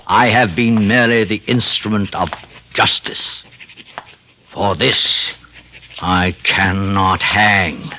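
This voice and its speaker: An actor playing a nervous, self-righteous murderer doctor in an old radio drama, hesitant, stammering and theatrical. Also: male voice, low-pitched, pushed and bright, a little hiss or hum, heavily acted, poor audio. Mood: grave, defiant. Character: nervous, self-righteous male poisoner doctor confessing his crimes. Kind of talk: old-time radio drama acting and first-person narration. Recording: old radio broadcast with faint music.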